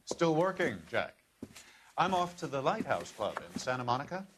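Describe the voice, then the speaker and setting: An actor playing a genteel, polite, formal superior in a 1940s crime drama game. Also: male voice, fairly high pitch, big pitch swings, moderate pace, background noise. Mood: genial.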